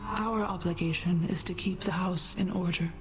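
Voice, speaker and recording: A woman's voice: on the low side, fairly even intonation, music in the background, natural voice. Stately, composed goddess voiced by a woman. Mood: composed, solemn. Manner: formal, measured, stately. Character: regal, composed, dutiful goddess. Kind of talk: video game voice acting.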